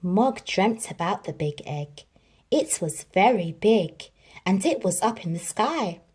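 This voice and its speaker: A woman reading a children's story aloud in an expressive, dramatic storytelling manner. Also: medium pitch, slow pace, slightly rough. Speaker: storybook reader.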